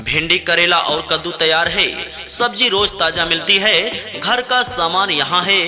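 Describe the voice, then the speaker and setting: High-pitched man calling out a sales announcement. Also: a little slow, over music. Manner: repetitive sales-pitch calling, addressing passers-by. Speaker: street vegetable seller announcer. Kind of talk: scripted loudspeaker sales announcement.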